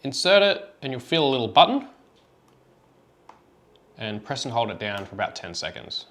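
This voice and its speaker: A man's voice: medium pitch, sing-song, moderate pace, fairly bright tone. Man giving an informal how-to demonstration. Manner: informal, step-by-step explaining. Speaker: product tutorial presenter.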